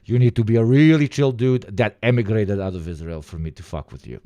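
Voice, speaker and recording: Man, Eastern European accent, low voice, dry close sound, profane rambling reaction talk. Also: a little fast, dry recording. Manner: profane, rambling, animated bursts, many fillers. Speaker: streamer reacting to a video. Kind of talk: casual rambling reaction commentary.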